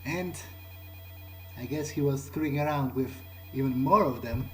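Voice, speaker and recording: A man thinking aloud in character during a game playthrough, musing and halting as if talking to himself. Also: mid-range voice, fairly bright tone, soft background music, rough recording. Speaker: gameplay video player.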